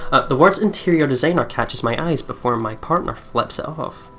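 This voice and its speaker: A woman's voice: rumbling low, animated, normal speed, a bit bright. A woman hosting a let's play, casually commenting and reading the game text aloud in a chatty way.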